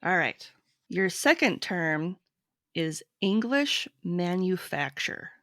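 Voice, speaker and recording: A woman's voice: fairly low pitch, expressive, takes it slowly, a bit bright, dry recording, clean studio sound. A woman hosting a podcast, casually quizzing a guest in a plain, patient way. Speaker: podcast host. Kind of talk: casual quiz chat.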